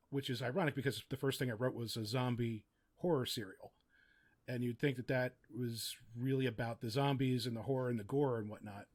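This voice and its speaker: A middle-aged man with a mid-pitched voice talks as an interview guest. He explains things thoughtfully and articulately, in a relaxed conversational style. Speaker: podcast guest, audio drama writer and producer. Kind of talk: casual, reflective interview talk.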